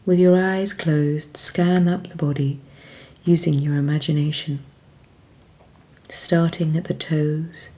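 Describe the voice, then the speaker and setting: A woman narrating a scripted guided meditation in a calm, measured, soothing way, with phrases that often trail off softly and breathily. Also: low-pitched.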